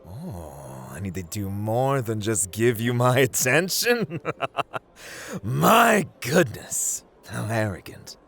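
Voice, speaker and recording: A man playing a playful, arrogant god of luck in an ASMR roleplay, with a teasing, drawling, condescending manner and dramatic pauses. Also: mid-range voice, very animated, a bit bright, husky, full character voice. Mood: amused, mocking. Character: playful, arrogant god of luck, amused and dangerous gambling master. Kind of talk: ASMR roleplay, theatrical monologue to listener.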